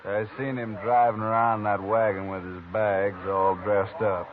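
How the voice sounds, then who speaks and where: An actor playing a grim, vengeful frontier man who speaks curtly and roughly in a 1950s radio drama. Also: fairly low pitch, level tone, a little slow, close to an everyday voice. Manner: curt, rough-spoken. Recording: tinny hissy old radio broadcast.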